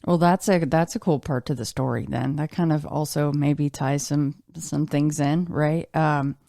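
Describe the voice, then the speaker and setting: A woman's voice: deep voice, fairly even intonation, unhurried, vocal fry, dark tone, no room sound, clean studio sound. A woman hosting a podcast interview, chatting casually and reflectively with her guest.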